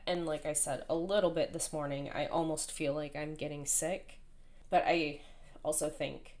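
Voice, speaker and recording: A woman in her thirties with a hoarse voice, chatting casually and rambling while she plans. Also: sits low, darkish tone. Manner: chatty, rambling, self-deprecating. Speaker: planner vlogger. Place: at home, indoors.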